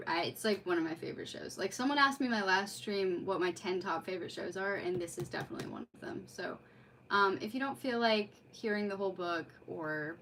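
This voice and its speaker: A woman's voice: on the low side, a bit bright, slight background noise, poor audio. A woman chatting casually on a livestream in an effusive, rambling way, as host of a read-aloud. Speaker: streamer hosting a live read-aloud.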